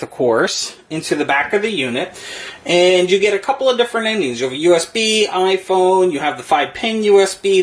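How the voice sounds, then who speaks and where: Matter-of-fact product reviewer. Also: medium pitch. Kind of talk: unscripted product demonstration.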